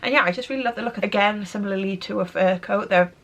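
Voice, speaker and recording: A woman's voice: medium pitch, quick pace. Woman, 30s, head cold, a bit nasal and stuffy; chatty fashion vlogger. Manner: chatty, repeats "really, really". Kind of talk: casual chatty vlog talk.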